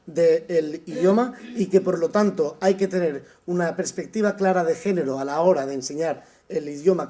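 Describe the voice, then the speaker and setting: High-voiced lecturing man, mellow tone. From Spain. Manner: thoughtful, with frequent "eh" pauses and restarts. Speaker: university lecturer. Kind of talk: summer course lecture.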